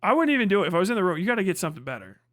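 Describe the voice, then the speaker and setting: High-pitched, bright-voiced man on a livestream rant. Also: big pitch swings, racing, no echo, clean studio sound. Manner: profane, emphatic, repeats phrases for emphasis. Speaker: livestream host. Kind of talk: casual livestream commentary, comedic ranting.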